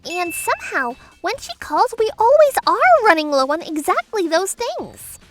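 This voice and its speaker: A woman voicing an anxious young newlywed wife in a manga dub, expressive and easily flustered. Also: very high-pitched, measured pace, soft background music, full character voice. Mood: narrating, mildly troubled. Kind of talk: manga dub first-person narration and dialogue.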